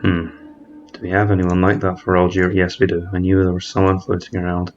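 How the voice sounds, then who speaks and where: A man's voice: deep voice, completely flat delivery, fairly quick, muffled recording, soft background music. A man giving methodical commentary over a strategy game, thinking aloud and correcting himself mid-word. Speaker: strategy game let's-player. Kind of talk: explanatory gameplay commentary.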